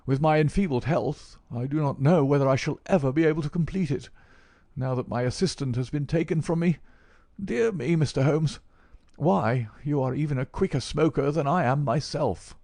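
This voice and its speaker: An elderly, bookish professor, played by a male narrator, lifts his voice into a higher, mincing register. He is effusive and plaintive, picking ornate words with fussy precision, like a frail invalid scholar in a classic storybook. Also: normal speed, creaky, dark tone, no echo. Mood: aggrieved, fussy. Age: older. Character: gaunt old male professor, bedridden, effusive bookworm.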